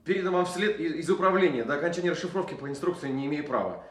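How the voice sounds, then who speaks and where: A man's voice: fairly high pitch, lively intonation, racing, a bit bright. A man acting a rule-bound army cipher clerk in a Russian radio play, reporting in a clipped military way in an echoey room. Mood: formal, urgent. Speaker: actor in an audio drama. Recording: echoey room, some noise.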